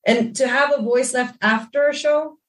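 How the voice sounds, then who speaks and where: A woman singer with a Swedish accent and a naturally raspy voice, chatting candidly about looking after her voice. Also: high voice, fairly even intonation, moderate pace, far from the mic. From Sweden. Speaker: singer, guest. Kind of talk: casual conversation.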